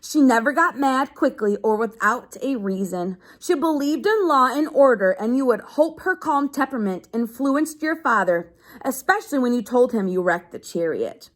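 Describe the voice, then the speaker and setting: High, lively-pitched woman reading aloud. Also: moderate pace. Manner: explains simply, as if to children. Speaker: teacher reading a children's book aloud. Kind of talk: read-aloud lesson with simple explanatory asides.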